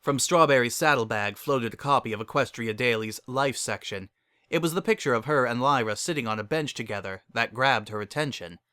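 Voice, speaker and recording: A man's voice: medium pitch, brisk, pushed and bright, clean tone, no room sound, studio quality. A man reading scripted dramatic story narration as a narrator.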